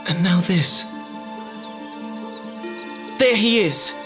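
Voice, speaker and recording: An actor voicing an earnest young prince cursed into a small rabbit-eared creature, with formal, old-fashioned speech, in a game cutscene. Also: male voice, sits high, animated, very slow, exaggerated voice. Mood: determined. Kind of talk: video game cutscene dubbing. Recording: game audio with music behind.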